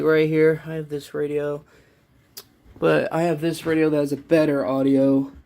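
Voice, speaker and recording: A man giving a casual, unscripted show-and-tell as a vlogger, talking in a halting, rambling way and often repeating himself into a handheld phone. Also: medium pitch, vocal fry, a little mellow. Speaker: vlogger showing a personal collection.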